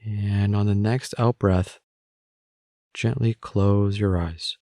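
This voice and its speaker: A man guiding a meditation with calm, measured, gentle spoken instructions. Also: lowish voice, no echo, studio quality. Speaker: meditation guide.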